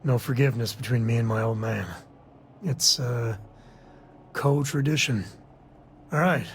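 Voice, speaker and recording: An actor playing a gruff, guarded, weary space cowboy in a video game, talking in a casual, grudging way. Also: male voice, medium pitch, unhurried, a little hiss or hum, lightly acted. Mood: bitter, guarded. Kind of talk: video game dialogue acting.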